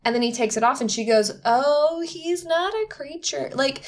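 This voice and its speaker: A woman's voice: sits high, lively intonation, normal speed. A woman co-hosting a podcast, giving opinions casually and emphatically, with lots of "like". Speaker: podcast co-host.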